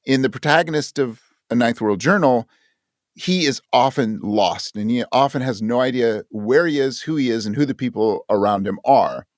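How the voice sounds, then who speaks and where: A man with an average-pitched voice talks in a very dry recording with no room sound. He is a conversational interview guest, thoughtful and inclined to search for the right word and correct himself as he goes. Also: normal speed, dry recording. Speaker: interview guest, audio drama writer.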